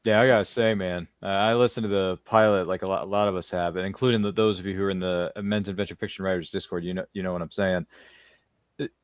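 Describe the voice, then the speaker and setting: Male stream host, 30s, rapid and chatty, jokey and effusive, very dry close sound. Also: low-pitched, fairly even intonation, clean tone, no room sound, highs cut off. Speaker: talk show host. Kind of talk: casual livestream interview hosting.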